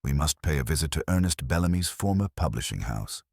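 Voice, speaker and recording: A man voicing a shrewd, commanding Victorian detective in an audiobook, deliberate, probing and terse. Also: a bass voice, lively intonation, vocal fry, no echo, clean studio sound, full character voice. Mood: grave, deliberate. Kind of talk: audiobook character dialogue.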